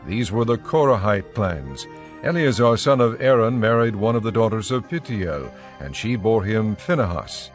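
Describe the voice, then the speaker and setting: A man narrating scripture from a script in a measured, formal storytelling manner. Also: lowish voice, lively intonation, soft background music.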